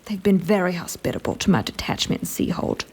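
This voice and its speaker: A woman's voice: fairly low pitch, expressive, fairly quick, darkish tone, slightly rough, full character voice. Imperious female military lord for fantasy audio drama: grand, formal, condescending, menace under the manners. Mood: sweetly menacing.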